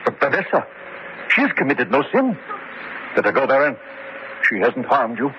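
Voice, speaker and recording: A proud gentleman sorcerer, played by a man in campy horror radio. He speaks in formal, grandiose phrases with highly animated intonation. The sound is muffled and slightly noisy, like old radio. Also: moderate pace, lightly acted. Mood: strained, alarmed. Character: proud, coolly macabre gentleman sorcerer husband. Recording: dull, faintly noisy old radio recording.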